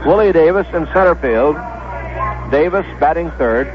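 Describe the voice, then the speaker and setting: Higher-voiced American baseball announcer, vintage radio sound. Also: male voice, lively intonation. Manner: measured announcing, repeats names for clarity. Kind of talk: 1970s radio sports commentary, pregame lineups. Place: stadium broadcast booth. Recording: dull lo-fi vintage radio broadcast.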